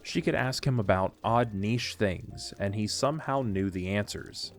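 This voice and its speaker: A man's voice: on the low side, a little slow, soft background music. A man reading scripted narration for an audio drama, telling a story in the third person.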